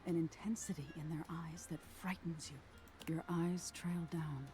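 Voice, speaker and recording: A woman voicing an eerie nightmare spirit in a video game, telling a soft, ominous tale in a hushed, whispery voice. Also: sits low, fairly even intonation, over music. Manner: slow, soothing, ominous storytelling. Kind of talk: game character narration.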